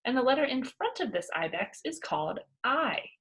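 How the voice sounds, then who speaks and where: A woman voicing a prim female schoolteacher in a children's storybook, brisk and coaxing with strained patience. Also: sits high, very animated, fairly bright tone, breathy edge, pitched above the performer's own voice, full character voice. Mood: brisk, bright. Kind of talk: children's storybook read-aloud.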